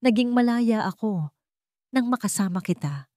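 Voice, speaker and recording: A woman with a Filipino accent voicing a tender, dying young woman in an audiobook, halting and breathy. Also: fairly high pitch, expressive, warm tone, no echo, clean studio sound, voice pushed up, natural voice. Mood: weak, tender. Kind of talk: audiobook character acting.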